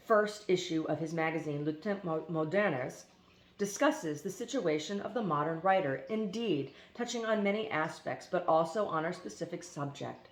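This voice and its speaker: A woman reading a philosophy essay aloud in a deliberate, emphatic way, now and then stumbling on foreign names. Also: fairly low pitch. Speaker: reader. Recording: echoey room.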